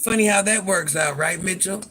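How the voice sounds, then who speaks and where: Deep-voiced granny streamer, sassy, bright forceful delivery. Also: female voice, normal speed. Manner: sassy, talks back to commenters by name. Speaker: livestream host. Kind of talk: casual livestream chat.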